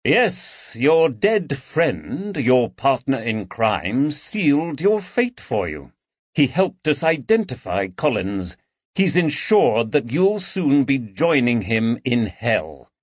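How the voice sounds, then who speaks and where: A man's voice: mid-range voice, very animated, slow, no room sound, lo-fi sound, pitched above the performer's own voice. A man voicing a shrewd, coldly mocking detective in an audiobook, with a dry, taunting manner. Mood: cold, triumphant. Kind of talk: audiobook character dialogue.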